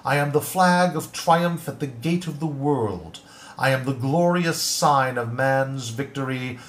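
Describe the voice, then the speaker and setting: A man giving a dramatic poetry recitation in a declamatory voice, moving between loud proclamations and hushed lines. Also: mid-range voice, a little slow.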